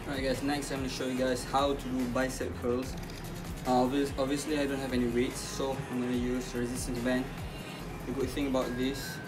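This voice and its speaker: A young man in his college room talks fitness tips in a flat, level voice, stumbling and restarting as he goes, his phone held close. Loud music plays behind him. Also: mid-range voice, a little fast, low-quality recording. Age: young adult. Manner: relaxed, rambling, many fillers and restarts. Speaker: fitness vlogger. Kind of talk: casual workout tutorial. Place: small college dorm room.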